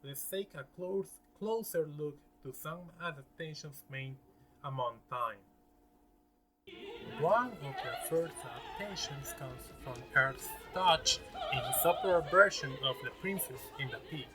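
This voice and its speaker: A man presenting scripted narration in a halting way, sometimes stumbling over words. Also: on the high side, wildly swooping pitch, music in the background, poor audio.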